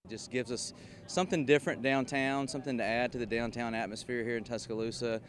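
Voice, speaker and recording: A man's voice: mid-range voice, steady tone, fast talker. A man giving an unscripted answer in a local news interview, outdoors downtown.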